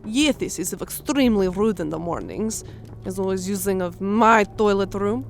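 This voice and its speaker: A woman's voice: medium pitch, animated, moderate pace, gravelly, soft background music. A woman voicing a shy, aggrieved female fantasy creature who complains plaintively in quirky, oddly built sentences. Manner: quirky non-native-like grammar, plaintive complaints. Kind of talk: fantasy audio story character acting.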